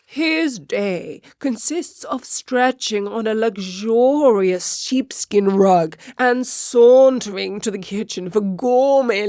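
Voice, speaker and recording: A woman's voice: fairly high pitch, a bit bright, no room sound, clean studio sound, full character voice. A woman voicing a vain, indignant male cat tutor in a children's story, drawling pompously and full of grievance. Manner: pompous, drawling, theatrically aggrieved.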